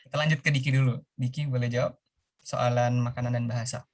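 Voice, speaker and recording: A man's voice: mid-range voice, little pitch movement, quick pace. A young man interviewing guests in a casual, friendly way. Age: young adult. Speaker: student interviewer.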